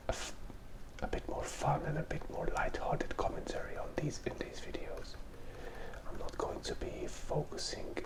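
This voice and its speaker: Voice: mid-range voice, low-quality recording. An artist whispering a hesitant, self-deprecating, light-hearted ASMR commentary while drawing, close to the microphone. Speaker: digital artist hosting a drawing video.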